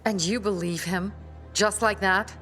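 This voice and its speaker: A woman's voice: mid-range voice, steady tone, measured pace, a bit bright, soft background music, close to an everyday voice. An actress playing a stern, embittered young female rebel leader in a video game, firm and earnest. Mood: earnest, persuading. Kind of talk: video game dialogue acting.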